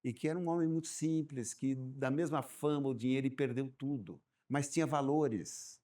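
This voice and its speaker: Man, guest, warm dark tone, animated storyteller who stumbles over repeats. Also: mid-range voice, normal speed. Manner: animated storyteller, repeats words while thinking. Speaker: guest business owner. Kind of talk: casual podcast chat.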